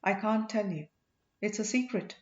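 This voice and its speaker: A woman's voice: mid-range voice, normal speed, close to an everyday voice. A woman voicing a loyal, teasing boatman husband in an audiobook, playful and earnest. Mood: earnest, secretive. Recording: echoey room, dull lo-fi sound.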